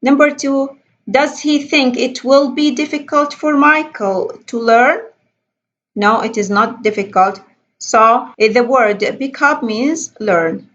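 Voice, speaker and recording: A woman teaching an English lesson, explaining slowly and deliberately and reading questions aloud. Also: sits high, fairly bright tone. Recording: dull lo-fi voice recording.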